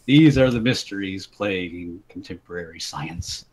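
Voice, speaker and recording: A man's voice: deep voice, animated, moderate pace, a little mellow. A man doing a dramatic reading of a comic story in a casual voice chat.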